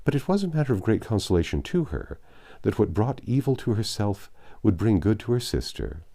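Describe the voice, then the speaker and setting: A man with a low, mellow voice narrates a classic novel. His pitch moves widely as he reads, giving the scripted prose an expressive, literary delivery. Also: brisk, creaky. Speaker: audiobook narrator.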